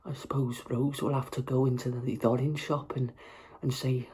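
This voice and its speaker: Actor doing a grieving earnest young person, halting stage monologue, bit husky, keeps repeating stuff. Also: mid-range voice, flat delivery, warm tone. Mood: wistful, hesitant. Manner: halting, repetitive, earnest phrasing. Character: grieving, literal-minded young person talking to the dead.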